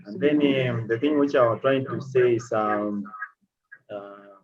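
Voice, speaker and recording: A man from Zambia with a Zambian accent, speaking halting, grateful remarks to a speaker with many pauses. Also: mid-range voice. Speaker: audience member asking questions. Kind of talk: casual spoken remarks. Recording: dull lo-fi call audio.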